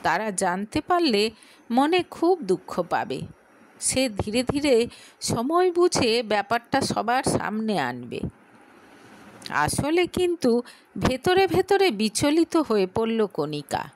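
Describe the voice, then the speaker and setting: A woman narrates a dramatic audio story in a high voice. Her delivery is unhurried and very animated in pitch, like a scripted tale read for listeners. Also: rough recording.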